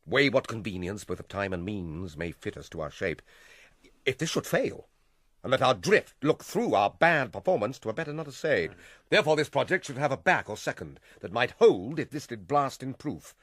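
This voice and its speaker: A man's voice: medium pitch, very animated, fairly bright tone, no echo. Scheming, manipulative middle-aged king: hugely varied intonation, close dry sound, theatrical Shakespearean radio drama. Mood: calculating. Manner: theatrical, deliberate, persuasive delivery with dramatic swells.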